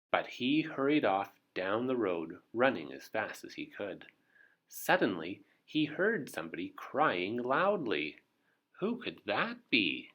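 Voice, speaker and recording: A man reading a children's story aloud as an expressive narrator. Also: lowish voice. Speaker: storybook reader.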